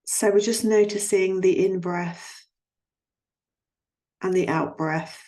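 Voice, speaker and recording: A woman guiding a yoga nidra relaxation in a soothing, gently instructing manner, leaving long pauses between phrases. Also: medium pitch. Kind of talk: guided relaxation meditation.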